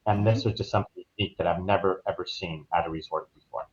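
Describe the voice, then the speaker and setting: Low-voiced American man, dull lo-fi sound. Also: steady tone, quick pace. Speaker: travel agent guest. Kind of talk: conversational podcast interview. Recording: dull, lo-fi online call audio.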